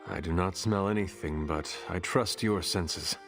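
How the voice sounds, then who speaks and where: Resurrected demon hunter, game cutscene: deep, solemn, formal diction, faint music behind. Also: male voice. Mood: dry. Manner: formal, measured, avoids contractions. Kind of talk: video game cutscene acting.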